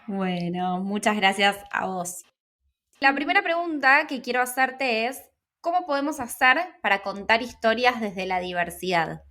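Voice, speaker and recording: A woman's voice: fairly high pitch, unhurried, sharp tone. A woman hosting a conversational interview, asking the questions. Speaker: interview host.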